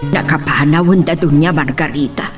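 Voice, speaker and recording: A woman's voice: deep voice, normal speed, soft background music, close to an everyday voice. A woman acting a nervous servant in an Ilocano-accented radio-style melodrama, with faint noise behind. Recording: faint background noise and insects.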